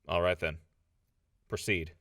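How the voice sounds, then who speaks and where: A man's voice: deep voice, steady tone, unhurried, gravelly, a bit bright, no room sound, studio quality, full character voice. A man playing a stern, composed judge who rules tersely and formally in an audio drama courtroom. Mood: calm.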